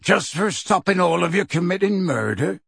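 A man voicing a gruff, defiant but big-hearted half-giant gamekeeper in an audiobook, with a gravelly, husky voice and blunt rustic speech. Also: mid-range voice, expressive, a little slow, a bit bright, no echo, studio quality, heavily acted. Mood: testy, indignant.

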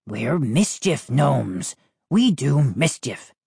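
A woman voicing a sly male mischief gnome in a children's audiobook, raspy and soft-spoken. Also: a bass voice, big pitch swings, no room sound, studio quality, exaggerated voice. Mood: explaining. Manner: soft, slow explaining. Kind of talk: audiobook character voice.

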